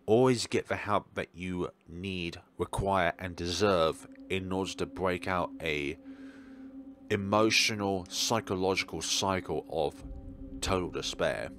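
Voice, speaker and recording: A man with a very low, gravelly voice hosts a let's play. His pitch moves around a lot as he comments in deliberate, halting phrases, with some noise in the recording. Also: a bit bright.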